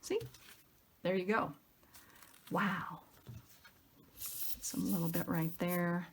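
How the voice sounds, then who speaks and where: Craft tutorial host: woman, unhurried delivery, gravelly fry, effusive and chatty, thinking aloud, some background noise. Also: on the low side, fairly even intonation. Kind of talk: casual chatty craft demonstration.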